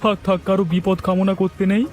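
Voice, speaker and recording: A man voicing a patient, kindly poor village father who fishes, speaking earnestly in an animated story. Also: high-pitched, quick pace, faint music behind, lightly acted. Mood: resigned, kindly.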